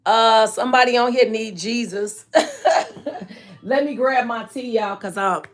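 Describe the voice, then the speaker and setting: A woman in her forties hosting a live hair care stream, chatting frankly with viewers and laughing often, her voice a bit hoarse and dry. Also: a little high, slow. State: hoarse and dry voice from staying up late. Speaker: natural hair care host and product maker. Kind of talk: live-stream chat answering viewer comments.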